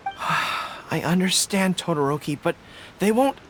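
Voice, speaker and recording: An actor playing an earnest, honourable general in a video game, speaking formally and sincerely. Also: male voice, high voice, sing-song, slow, background noise, full character voice. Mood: troubled. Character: earnest, honourable young male army general.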